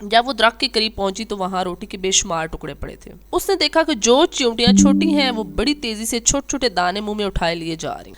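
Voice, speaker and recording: A woman narrating a scripted children's moral tale as a storyteller. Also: mid-range voice.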